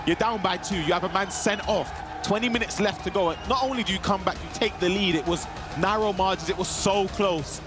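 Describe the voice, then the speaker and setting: Man, esports co-caster, high voice, energetic, music behind. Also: fairly quick. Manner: energetic, exclaiming. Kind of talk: sports commentary.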